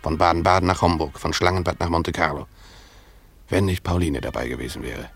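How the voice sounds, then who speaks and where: An actor playing a brooding, lovesick former tutor, narrating a radio drama in a reflective, halting, self-questioning way. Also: male voice, low voice, steady tone, vocal fry, husky, exaggerated voice.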